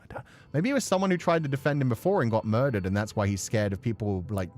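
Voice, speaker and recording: A man's voice: medium pitch, fast, darkish tone. A man giving casual, playful live commentary on a visual novel as a streamer, chatty and mildly profane. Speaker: game streamer.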